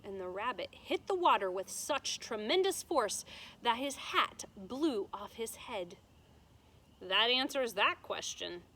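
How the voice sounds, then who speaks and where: A woman teacher reading a children's storybook aloud in a deliberate, expressive way, explaining things to her students. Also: medium pitch, rough recording.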